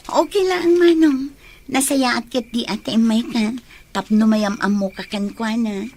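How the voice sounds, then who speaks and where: A woman with a Filipino accent playing a kind, hospitable young working woman in an Ilocano audio drama, gentle and conversational. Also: a little high, a little slow, slight background noise, natural voice.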